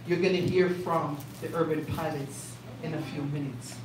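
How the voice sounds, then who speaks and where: A woman's voice: sits low, normal speed. A woman hosting a community event, speaking with emphatic, repetitive energy to the crowd. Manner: warm, repetitive, emphatic, animated. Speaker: event host. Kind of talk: live event speech to a crowd. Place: community event space indoors. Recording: echoey room recorded from a distance, noisy.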